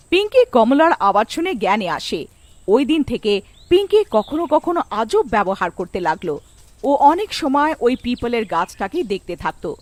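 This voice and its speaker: Expressive, high-voiced woman narrating a story. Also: no echo. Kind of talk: scripted storybook narration of a horror tale.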